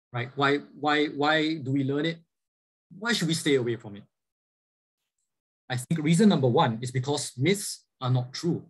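A man's voice: mid-range voice, breathy edge, poor audio. A man giving a church lecture as a Bible teacher, earnest, asking his listeners rhetorical questions and often restarting his sentences. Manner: frequent fillers and repeated restarts. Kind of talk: church lecture over slides.

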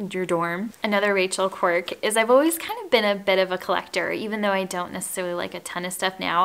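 A woman in her thirties with a breathy, calming voice, chatting casually to camera from her living room. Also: on the low side. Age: 30s. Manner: gentle, calm, chatty with light laughs. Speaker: home and lifestyle YouTuber. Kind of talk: casual get-to-know-me chat to camera. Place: home living room with wood floors. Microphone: camera at chest-up framing.

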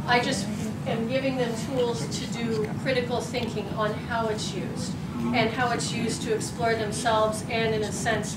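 A woman with a Midwestern American accent giving a conference talk and answering questions in a conversational, thoughtful way. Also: fairly high pitch. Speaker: conference presenter. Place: conference room. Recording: roomy, distant mic, loud background noise.

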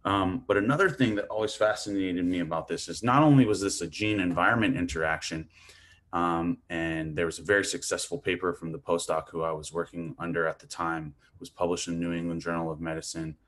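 Man from Hawaii, very low voice, relaxed lecturing, webcam mic, small room. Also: fairly quick. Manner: casual, thinking aloud with fillers. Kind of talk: academic lecture over video call. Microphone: video call webcam mic.

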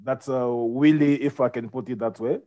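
A middle-aged man from Congo, a guest on a video call, explaining things in a measured way. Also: mid-range voice, fairly even intonation, warm tone, lo-fi sound. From Democratic Republic of Congo. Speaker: guest, property investor. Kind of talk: casual video-call interview. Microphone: video call with earphones.

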